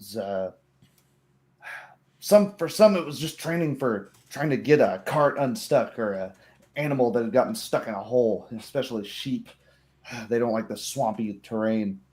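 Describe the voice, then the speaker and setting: A man's voice: medium pitch, normal speed, darkish tone, lightly acted. A man voicing a friendly, practical farmer with hesitant, folksy speech in a tabletop roleplay. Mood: explaining, friendly. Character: friendly, rustic male farmer, eager and practical.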